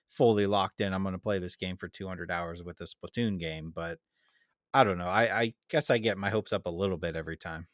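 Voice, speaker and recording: Male co-host, slightly deep, casual and hedging as he thinks aloud, very dry sound. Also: no room sound. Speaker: podcast co-host. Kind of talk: casual gaming chat.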